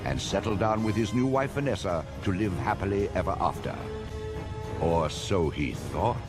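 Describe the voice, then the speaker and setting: A man's voice: deep voice, moderate pace, natural voice. An actor playing a grave male storybook narrator with ominous irony. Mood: ominous storytelling. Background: clear background music.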